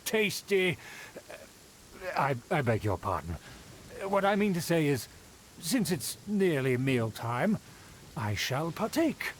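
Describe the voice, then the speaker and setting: An actor playing a haughty, condescending aristocrat in a video game, speaking in a formal, grandiose manner. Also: male voice, on the high side, very animated, takes it slowly, pauses often, slight background noise, rough recording. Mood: flustered, eager. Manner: formal, grandiose, condescending, flustered when slipping. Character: pompous, haughty aristocrat of a faded noble clan.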